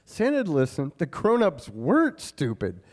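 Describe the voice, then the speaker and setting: A man as an animated, nostalgic storyteller. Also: a little high, normal speed. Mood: nostalgic, delighted. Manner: expressive storytelling. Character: warmly nostalgic man reliving boyhood Christmas morning. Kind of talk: scripted monologue performed live.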